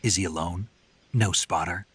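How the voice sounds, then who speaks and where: An actor playing a gruff, skeptical, confident soldier in a video game, terse and gravelly. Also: male voice, low-pitched, moderate pace, fairly bright tone, no echo, exaggerated voice. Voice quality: very gravelly, husky. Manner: terse, dry, questioning.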